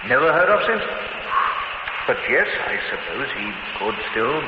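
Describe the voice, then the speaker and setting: An actor playing a worldly, genial gourmet in a vintage radio drama, talking in an assured, teasing way. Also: male voice, mid-range voice, big pitch swings, a little slow. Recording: muffled old radio recording with hiss.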